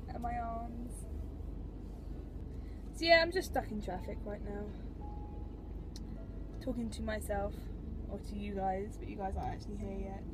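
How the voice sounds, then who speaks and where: A woman's voice: fairly high pitch, heavy background noise. A young British woman vlogging casually from inside her car, rambling conversationally to a dashboard camera. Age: young adult. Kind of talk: casual chat to camera while driving. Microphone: camera mounted on the dashboard.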